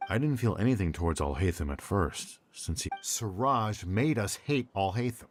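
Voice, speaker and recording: A man voicing a regretful former cult member in a game, measured and sober. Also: on the low side, expressive, a little hiss or hum, natural voice.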